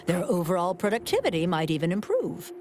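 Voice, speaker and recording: A woman's voice: on the low side, huge pitch swings, fairly quick, music in the background. A woman voicing a greedy, penny-pinching corporate boss in a video game, pompous, theatrical and articulate. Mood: grudging, calculating.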